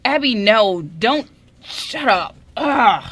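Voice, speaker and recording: A woman's voice: a little high, expressive, slow, a bit bright, heavily acted. A woman playing a shy, smitten young man in an amateur radio drama, speaking in a hesitant, stammering way. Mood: flustered.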